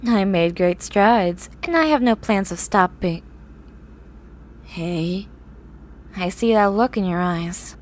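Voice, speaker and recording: A woman playing a grumpy, eccentric young horse-girl scientist in an ASMR roleplay, with formal, haughty, drawn-out wording. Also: medium pitch, close to an everyday voice. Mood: dry, smug. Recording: clear background noise, ambient sound.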